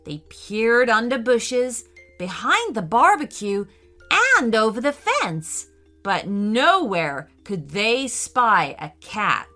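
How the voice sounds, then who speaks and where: A woman reads a children's bedtime story expressively, with animated pitch and dramatic care. She takes her time, and music plays softly behind her.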